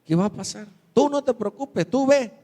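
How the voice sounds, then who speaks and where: A man's voice: lively intonation, moderate pace, mellow. Man preaching in a reverberant hall. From Mexico. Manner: storytelling, acts out quoted voices with big pitch jumps. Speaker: pastor. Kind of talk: sermon. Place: church sanctuary.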